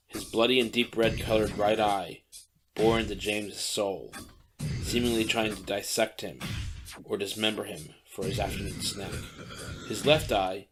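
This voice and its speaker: Low-voiced man narrating over music. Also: low-quality recording. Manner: steady descriptive reading. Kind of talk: scripted audiobook narration.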